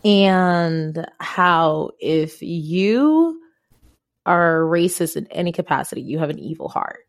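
Lower-pitched woman podcast host, conversational. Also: animated, takes it slowly. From Ghana. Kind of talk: casual conversational storytelling.

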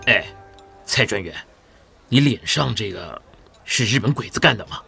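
A man's voice: on the low side, lively intonation, normal speed, creaky, sharp tone, slightly rough, soft background music, exaggerated voice. An actor playing a big, hearty, plain-spoken man in a Mandarin audio drama, with an emphatic manner. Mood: indignant.

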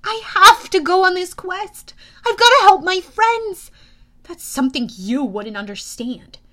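A woman's voice: high-pitched, very animated, normal speed, fairly bright tone, voice pushed up. A woman voicing a defiant, earnest teenage boy hero in an audiobook, speaking in a plain, emphatic, youthful way. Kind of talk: audiobook character voice.

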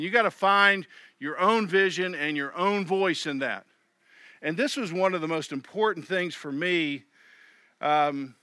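Bright-voiced man preaching conversationally. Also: on the high side, no room sound. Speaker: pastor. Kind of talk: sermon.

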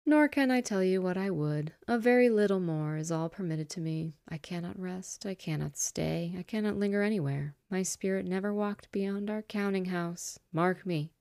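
A woman reading aloud, voicing a tormented male ghost in chains who speaks slowly and often sinks to a breathy whisper. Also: lowish voice, a little mellow, dry recording, studio quality, pitched above the performer's own voice. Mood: mournful. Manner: slow, dragging, breathy whispering delivery.

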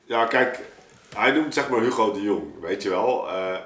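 A man talking casually and reflectively, halting as he searches for words. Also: lowish voice, expressive, background noise. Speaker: musician in a podcast discussion. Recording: roomy, echoing sound.

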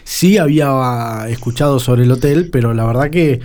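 A man with an Argentine accent hosting a radio show, with a mellow, dark voice. He asks questions in an easy, conversational way. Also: mid-range voice, normal speed. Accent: Argentine Spanish. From La Pampa, Argentina. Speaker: radio host. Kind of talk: casual radio interview.

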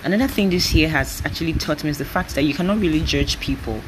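A woman's voice: low voice, fast. Young Nigerian woman, early twenties, solo podcast host; chatty, rambling delivery on a noisy home recording. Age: 20s. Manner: chatty, rambling, often laughing. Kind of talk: casual solo podcast chat.

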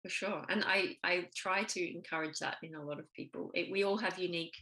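A woman's voice: on the low side, steady tone, brisk, low-quality recording. A woman hosting a conversation, affirming and encouraging her guest as she talks. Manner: warm, affirming, laughs easily. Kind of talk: casual conversation.

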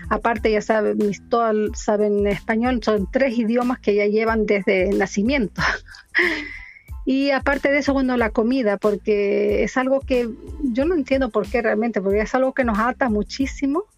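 A woman's voice: a little high, normal speed, soft background music. A woman in her fifties from Ecuador, speaking Ecuadorian Spanish with Chilean touches, chatting as an interview guest. She laughs often and repeats words as she talks. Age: 50s. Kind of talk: casual interview chat.

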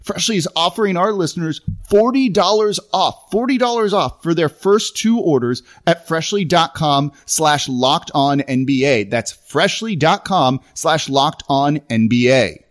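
A man's voice: a little high, lively intonation, measured pace, few pauses. A man reading a scripted advertisement as a personal testimonial, in a conversational, relatable sales manner. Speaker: advertisement presenter.

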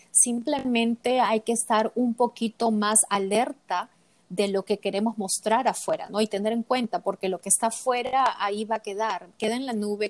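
Woman guest, Latin American accent, mid pitch, thoughtful, hesitant fillers, dry close sound. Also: no room sound. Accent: Latin American Spanish. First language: Spanish. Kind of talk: casual interview chat.

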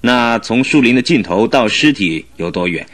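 Husky, probing male prosecutor. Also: mid-range voice, animated, moderate pace, fairly bright tone. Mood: methodical, pressing. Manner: pointed, methodical questioning. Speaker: radio drama actor. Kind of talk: Chinese radio drama acting.

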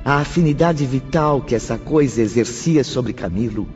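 A man's voice: medium pitch, normal speed, a little mellow. A man narrating a radio drama in a dramatic, scripted style. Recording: muffled, under prominent music.

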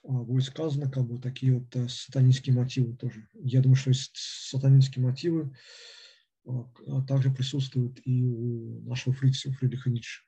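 A man lecturing off the cuff, stopping and restarting at every turn with a string of fillers. His voice is very dark and husky, and he speaks in a flat, level tone. The recording sounds dull and lo-fi. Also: mid-range voice. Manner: halting, with constant hesitations and fillers. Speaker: lecturer on philosophy. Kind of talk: unscripted lecture.

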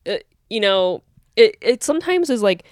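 An American woman chats as a podcast co-host in a lively, swooping voice. She is informal and jokey, and the sound is close and dry. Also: medium pitch, moderate pace, no room sound. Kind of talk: casual podcast banter.

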